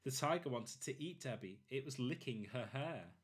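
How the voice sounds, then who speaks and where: A man narrating a children's storybook expressively, in short, deliberate phrases. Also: mid-range voice, animated. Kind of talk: expressive children's read-aloud.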